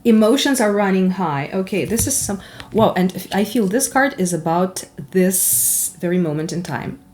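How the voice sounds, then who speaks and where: A woman from Ukraine with a Ukrainian accent, a native Russian speaker, giving a chatty tarot card reading in an animated, giggly, rambling and candid way. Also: medium pitch, normal speed, slight background noise. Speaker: tarot reader.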